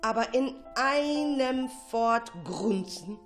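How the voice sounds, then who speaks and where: A woman narrating a children's story in an expressive, dramatic, suspenseful way. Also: on the high side, takes it slowly, soft background music. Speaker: storyteller, radio show narrator.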